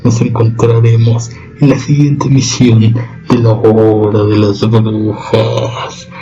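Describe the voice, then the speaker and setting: A man hosting a horror radio show, speaking slowly in an ominous, theatrical manner. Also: lowish voice, little pitch movement, mellow, lo-fi sound, faint music behind. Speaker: host.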